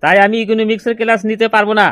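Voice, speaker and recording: A man's voice: sits high, level tone, very fast, a bit bright, dry recording. A man voicing a stern, hot-tempered village schoolteacher in a comedy cartoon, scolding and exasperated.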